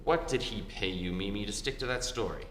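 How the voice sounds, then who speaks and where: An actor playing a shrewd, wisecracking detective who explains things drily in an old-time radio play. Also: male voice, fairly low pitch, a bit bright, natural voice. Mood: pressing. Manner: deliberate explaining, dry wisecracks. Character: shrewd, wisecracking male detective unmasking a killer. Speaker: amateur stage actor. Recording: echoey hall with faint hum.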